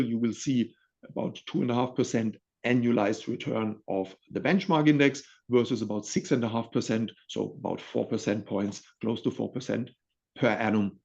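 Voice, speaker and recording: A man's voice: on the low side, creaky, a little mellow. A man presenting index performance figures in a webinar, talking with frequent fillers and restarts. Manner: halting, many fillers and restarts. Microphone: video call. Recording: muffled lo-fi webinar audio.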